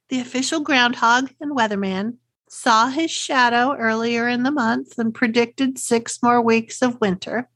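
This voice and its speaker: A woman's voice: a little high, no room sound. A middle-aged woman with a Texas accent hosts a solo podcast, talking in a friendly, chatty way and often asking rhetorical questions. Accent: Texan. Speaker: podcast host. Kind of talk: scripted solo podcast talk.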